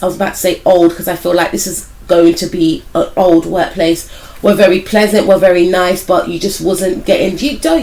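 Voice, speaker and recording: A woman reads tarot for her viewers in a loose, conversational way, her voice a little croaky from a cold. She wanders from point to point and keeps softening each claim with what she feels. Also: lowish voice, poor audio. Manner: rambling, hedged with "I feel like"; speaks directly to viewers as "some of you". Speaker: tarot reader and spiritual life coach. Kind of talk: casual intuitive tarot reading to viewers.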